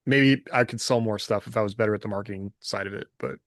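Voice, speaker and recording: A man's voice: lowish voice, fast, dry recording, studio quality. A middle-aged American man chatting casually as a podcast co-host, candid and self-deprecating. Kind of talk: casual chat.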